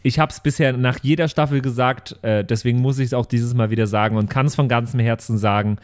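A man's voice: medium pitch, steady tone, a little fast. A man co-hosting a podcast in casual banter, effusive and teasing with his friends. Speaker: podcast co-host and role-player.

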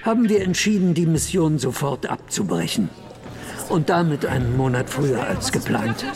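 A man playing a space agency director in an audio drama, giving formal, measured press statements in a creaky voice. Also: mid-range voice, big pitch swings, over music, natural voice. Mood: grave, official. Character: male space agency director announcing bad news and resignation.

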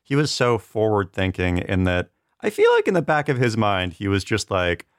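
A man's voice: on the low side, big pitch swings, normal speed, no echo, studio quality. A man guesting on a podcast, chatting loosely and casually with lots of "like" and "you know". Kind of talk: casual chat.

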